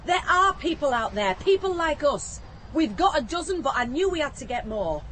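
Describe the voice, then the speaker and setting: An actress playing a brisk, determined, cheery woman with a Northern English accent, bossing her friends along in a radio play. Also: sits high, very animated, fairly bright tone. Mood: rousing. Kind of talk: naturalistic radio play acting. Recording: outdoor scene with clear traffic ambience noise.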